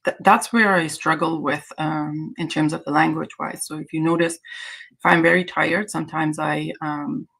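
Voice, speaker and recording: A woman's voice: sits low, steady tone, normal speed, gravelly, a bit bright, breathy edge. A woman from Iran whose native language is Azeri, hosting a casual livestream chat in a hesitant, rambling way. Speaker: livestream host.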